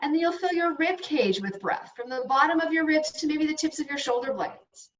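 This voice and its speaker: A woman yoga instructor leading slow guided breathing and meditation in soothing, unhurried short phrases with long pauses. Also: high-pitched. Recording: dull, echoey room, distant mic.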